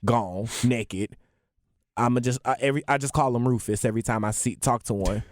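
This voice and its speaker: A man co-hosting a podcast in casual chat, animated and passionate, often laughing. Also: medium pitch, brisk. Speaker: podcast co-host.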